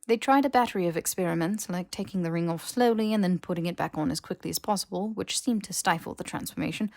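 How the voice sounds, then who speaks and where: A woman's voice: on the low side, fast talker, vocal fry, darkish tone, dry recording, clean studio sound. A woman reading scripted fiction as an audiobook narrator.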